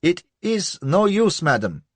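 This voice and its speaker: A man's voice: sing-song, takes it slowly, no echo, exaggerated voice. A cowering little man of the church, his voice shoots up into a thin, squealing register and wobbles on the edge of tears. He begs and whimpers in a frantic rush and never finds his footing. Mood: protesting. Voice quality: high, squealing. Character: timid little male church official, pleading.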